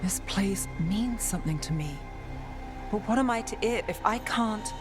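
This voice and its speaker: A woman's voice: medium pitch, normal speed, music in the background, close to an everyday voice. Woman acting a guarded, world-weary fantasy detective. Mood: weary, troubled. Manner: wry, clipped, restrained. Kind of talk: video game cutscene acting.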